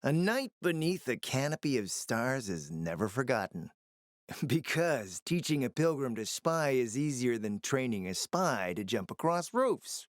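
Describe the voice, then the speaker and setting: A man playing a suave, flirtatious, scheming spymaster in a video game, with a smooth, theatrical, sardonic and teasing manner. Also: medium pitch, very animated, a little slow, no echo, studio quality. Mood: urgent, coaxing. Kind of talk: video game character acting.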